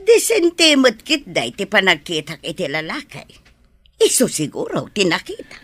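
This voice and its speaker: A woman's voice: medium pitch, wildly swooping pitch, moderate pace, a bit bright, no echo. A woman playing a forthright young lady of the house in an Ilocano radio drama. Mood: curious, matter-of-fact.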